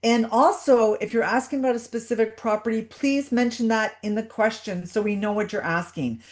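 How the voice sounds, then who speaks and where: A woman's voice: fairly high pitch, moderate pace. Chatty, welcoming woman hosting a webinar. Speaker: webinar host. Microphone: video call.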